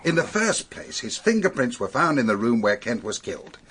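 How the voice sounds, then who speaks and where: A middle-aged actor with an English accent playing a sober, matter-of-fact male police detective in a radio drama, measured and authoritative. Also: medium pitch, sing-song, fairly bright tone, slight background noise. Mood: firm.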